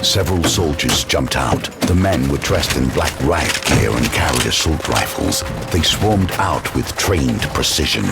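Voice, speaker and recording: A man's voice: a bass voice, animated, a little slow, creaky, hardly any pauses, heavily acted. An actor playing a grave demon-hunting detective who narrates his story in an audio drama with brooding, suspenseful phrasing. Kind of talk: scripted dramatic first-person narration. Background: loud dramatic music.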